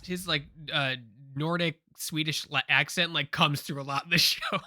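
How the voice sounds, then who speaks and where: A man co-hosting a pop culture show, chatting casually in a rambling, animated way with lots of fillers and restarts. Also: fairly high pitch, normal speed, slight background noise. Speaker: co-host.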